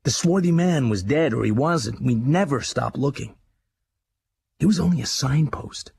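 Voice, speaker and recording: An actor playing a brooding American crime writer, narrating his life in terse, intimate radio drama monologue with a husky, gravelly voice. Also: medium pitch, expressive, normal speed, a little mellow, exaggerated voice. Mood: sombre, resolved. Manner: terse, hard-edged, intimate. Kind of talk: dramatised first-person scripted narration.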